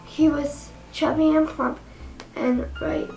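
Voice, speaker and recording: A woman recites verse dramatically in a high voice with a creaky edge. Her reading is deliberate and halting. It sounds roomy, with gentle holiday music underneath. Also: steady tone. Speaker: reader in a dramatic poem reading. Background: jazzy Christmas music.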